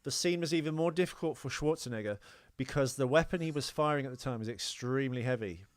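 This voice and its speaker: A man's voice: medium pitch, animated, quick pace, dry recording. A man co-hosting a podcast, casually discussing films and trivia in a wry, joking way. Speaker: podcast co-host.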